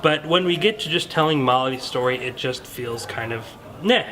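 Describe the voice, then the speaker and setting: A man's voice: medium pitch, normal speed, a crowd in the background, poor audio. A man talking through his opinions on a film in a casual, earnest, conversational way, hedging as he goes. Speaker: film review show host.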